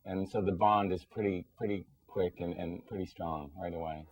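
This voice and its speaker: A man's voice: sits low, steady tone, moderate pace, dry recording, poor audio. A man speaking earnestly and conversationally as a guest answering interview questions.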